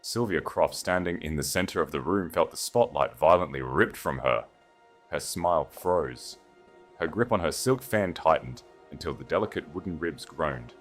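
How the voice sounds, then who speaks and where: A man with a low voice narrates a dramatic story in a scripted audiobook style. Faint music plays behind his reading. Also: lively intonation, moderate pace.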